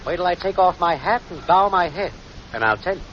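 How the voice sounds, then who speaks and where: An actor playing a brash, slangy small-time schemer boyfriend with an American accent in a 1940s radio comedy. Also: male voice, sits high, lively intonation, a little fast, a bit bright. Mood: deflated. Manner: slangy, brash, smooth-talking. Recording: muffled, noisy vintage 1940s radio broadcast.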